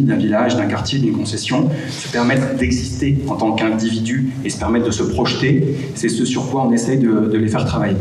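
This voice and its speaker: A man giving a prepared conference talk with personal anecdotes, in a measured, hesitant way with frequent pauses. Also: medium pitch, dark tone. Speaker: conference speaker from a volunteer hosting association. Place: echoing room at a public conference. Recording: roomy, distant mic, faint background noise.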